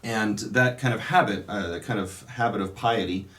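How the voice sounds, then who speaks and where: A man from California, a Dominican priest, telling his life story in a casual interview, reflective and unhurried, with many pauses and restarts. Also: fairly low pitch, fairly bright tone.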